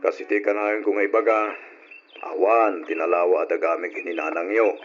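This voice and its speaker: A man's voice: low voice, steady tone, fairly quick, faint music behind, lightly acted. An actor playing an ailing, stern but loving old rural father in an Ilocano radio drama, weary and admonishing. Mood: earnest, admonishing. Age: older. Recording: dull lo-fi old radio recording.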